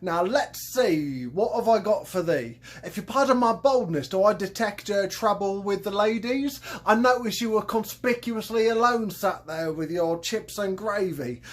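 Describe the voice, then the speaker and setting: A man performs a grubby old Cockney hustler with a thick accent and inflated grandeur. His voice sits high and bright, with a boastful lilt in his patter. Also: animated, measured pace, few pauses. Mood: grandiose. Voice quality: pompous, grandiose salesman patter. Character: shabby boastful old male Cockney conman, haughty.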